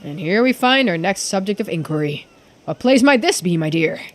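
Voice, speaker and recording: A woman's voice: mid-range voice, animated, moderate pace, lightly acted. A woman voicing an eager, genteel investigator in a video game, with florid, exclamatory speech. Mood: curious, pleased. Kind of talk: game character dialogue read aloud. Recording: music behind the voice.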